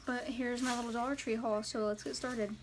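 A teenage girl vlogging in a casual, jokey way, her voice a little raspy from short sleep, filmed on a phone at arm's length in a parked car. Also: a little high, fairly even intonation, brisk, noisy background. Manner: chatty, jokey, lots of "like" and "literally". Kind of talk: casual vlog chat. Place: parked car on a rainy day. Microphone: phone held at arm's length.

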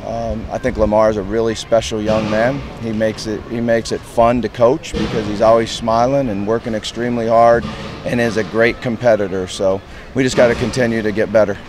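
A man in his fifties, a head football coach, answering reporters outdoors at a stadium in a plain, measured way with lots of "you know" fillers. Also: on the low side, little pitch movement, background noise. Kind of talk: post-game press interview.

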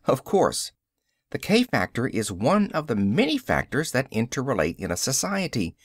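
A man's voice: medium pitch, very animated, moderate pace, dry recording, clean studio sound. A man voicing an adult male social scientist who lectures with a confident, explanatory cadence in an audiobook. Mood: lecturing. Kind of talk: audiobook character reading.

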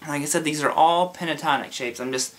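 A man with a sore throat teaching a casual guitar lesson, explaining slowly and step by step in a laid-back way. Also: on the high side, a bit bright. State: sore throat from being sick. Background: his own guitar playing.

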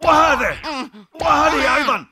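Grumpy cartoon bear cub, high bouncy voice, whiny shouting, loud music behind. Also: male voice, lively intonation, normal speed, heavy background noise. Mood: angry. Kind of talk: dubbed cartoon acting.

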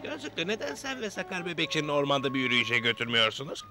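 A man playing a wise old fatherly gnome leader in a cartoon dub, giving instructions with gravelly authority. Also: on the high side, big pitch swings, fast talker, music in the background. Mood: thoughtful, firm.

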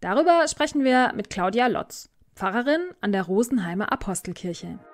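A woman's voice: medium pitch, expressive, moderate pace, over music. Female podcast moderator, middle register, prepared interview delivery. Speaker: podcast host.

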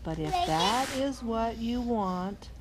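A woman voicing a little leprechaun man who grants wishes in a measured, cautioning way. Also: very high-pitched, animated, heavily acted. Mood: calm warning. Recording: clearly noisy room recording.

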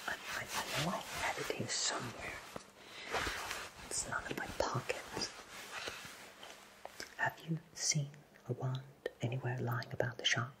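A man playing a friendly, absent-minded wizard who runs a potion shop, speaking in a soft breathy whisper for an ASMR roleplay, gently and reassuringly. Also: mid-range voice, fairly even intonation, lightly acted, rough recording. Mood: flustered, searching. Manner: slow, gentle, hesitant, reassuring.

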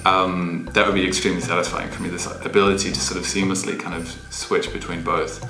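Young man, very low flat voice, thoughtful, hesitant, roomy echo, music underneath. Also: a little fast, vocal fry. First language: English. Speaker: interviewee. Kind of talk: casual interview answers. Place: bright room with large windows. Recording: echoey room, music behind.

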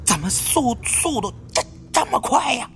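A man voicing a jumpy, gruff tomb raider with a heavy stutter in an audiobook. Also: extremely high pitch, big pitch swings, a little slow, a bit bright, pitched above the performer's own voice, heavily acted. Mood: panicked.

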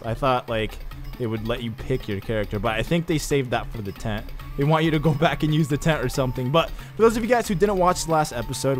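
Chatty young man narrating gameplay. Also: medium pitch. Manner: chatty, excitable, jokey asides. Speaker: gaming YouTuber. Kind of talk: casual let's play commentary.

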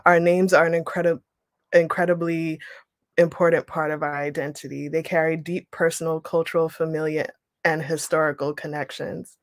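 Young woman on a video call, measured reading, pretty monotone, dry close sound. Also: low-pitched, no room sound. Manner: measured reading, then relaxed explaining with ums. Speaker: writing workshop leader. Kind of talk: reading aloud and teaching a workshop. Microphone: webcam on a video call.

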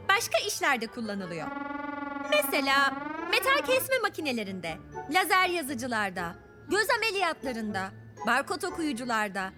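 Confident clever cartoon girl, very high and lively, explaining things, music underneath. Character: bright confident young girl who explains gadgets. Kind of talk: children's cartoon dub acting.

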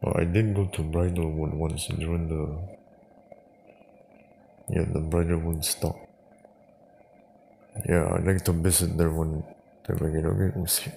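A man chatting casually and haltingly, improvising as he goes and repeating words. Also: low voice, level tone, vocal fry, warm tone. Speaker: prank call video creator, host. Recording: noisy home recording.

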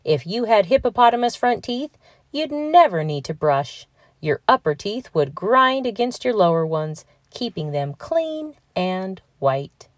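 A woman narrating a children's picture book aloud in a scripted read-aloud style, with clearly enunciated words and expressive emphasis. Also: mid-range voice, a little slow, no room sound, studio quality.

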